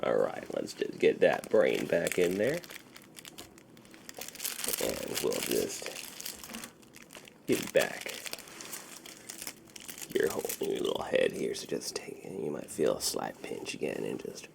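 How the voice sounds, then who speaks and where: Super gravelly low guy, breathy asmr roleplay, half whispered, voice moves around a lot. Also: sing-song, slight background noise. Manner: unhurried, reassuring, playing a friendly dealer. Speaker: ASMR performer. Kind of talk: soft-spoken ASMR roleplay.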